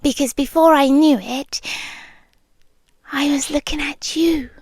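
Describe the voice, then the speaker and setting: A woman with a British accent voicing an ancient, lonely monster girl who is tenderly obsessed, in breathy, wistful, hushed ASMR roleplay. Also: sits high, fairly even intonation, no room sound. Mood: fond, obsessive. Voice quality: breathy, often dropping into whisper. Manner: hushed, deliberate, wistful storytelling with pauses. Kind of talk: slow intimate ASMR roleplay. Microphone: close, dry microphone.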